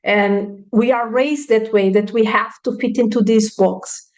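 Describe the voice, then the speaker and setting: A woman's voice: on the high side, steady tone, a little slow, darkish tone. A woman in her thirties, speaking frankly and reflectively as an interview guest, with many "you know" fillers. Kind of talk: casual interview conversation.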